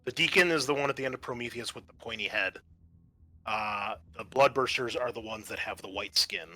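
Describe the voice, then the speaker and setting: Bright-voiced man running a tabletop roleplaying game. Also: mid-range voice, fairly quick, low-quality recording. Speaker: game master. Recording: music underneath the voice.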